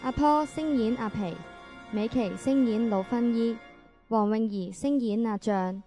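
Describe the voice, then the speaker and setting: A woman's voice: a little high, measured pace, mellow, soft background music. A woman reading a scripted credits announcement at the close of an amateur radio drama. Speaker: closing announcer.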